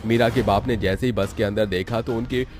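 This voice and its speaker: A man's voice: lowish voice, steady tone, brisk, darkish tone. A man narrating a scripted horror story for a cartoon, as the storyteller. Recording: music bed under the voice.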